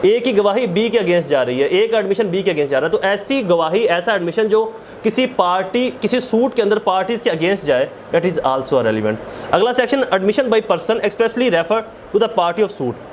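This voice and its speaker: A high-voiced man with a Pakistani accent delivers an explanatory lecture. He teaches step by step, restating key phrases and mixing formal read-out wording with plain examples. There is some noise in the recording. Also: animated, measured pace. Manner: didactic, repeats phrases for emphasis. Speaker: law lecturer.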